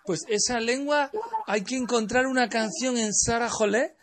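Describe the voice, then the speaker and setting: A man's voice: very high-pitched, normal speed. A man teacher on a radio chat, explaining plans in a friendly way.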